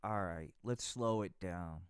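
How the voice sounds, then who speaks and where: A man's voice: sits low, slow, clear, resonant tone, dry recording, studio quality. A man narrating an improvised live puppet show, rambling and crude, tossing in "man" often.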